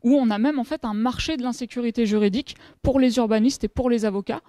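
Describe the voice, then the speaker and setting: A woman in her thirties speaks at a panel table in a conference room. Her voice sits fairly high but has a mellow, warm tone. The sound is dry and close, and she explains step by step, often pausing and restarting. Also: no room sound. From France. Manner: explanatory, many hesitations and restarts. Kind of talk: conference presentation.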